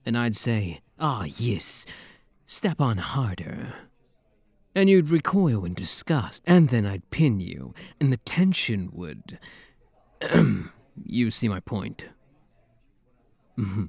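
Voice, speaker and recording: A man's voice: lowish voice, sing-song, takes it slowly, gravelly, pauses often, a little mellow, slightly rough, dry recording, full character voice. A man playing a playful, flirty demon prince with a teasing, theatrical manner in an intimate ASMR roleplay. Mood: flustered, flirty.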